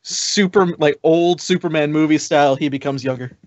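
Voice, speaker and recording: A man's voice: fairly high pitch, moderate pace. A man co-hosting a podcast, chatting casually and reacting to clips in an unimpressed, sweary manner. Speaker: podcast co-host.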